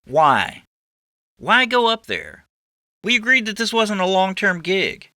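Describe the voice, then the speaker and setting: A man's voice: high voice, big pitch swings, slow pace, bright, forceful tone, dry recording, studio quality, pitched above the performer's own voice, full character voice. Man as an anxious, protesting reluctant sidekick. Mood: alarmed. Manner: protesting, reasoning.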